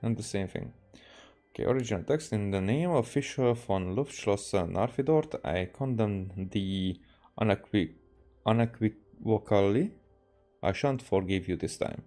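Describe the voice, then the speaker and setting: A man from Hungary, a native Hungarian speaker, doing casual gameplay commentary and reading text aloud haltingly. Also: on the low side, warm tone, soft background music. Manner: halting, re-reads stumbled words. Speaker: game streamer.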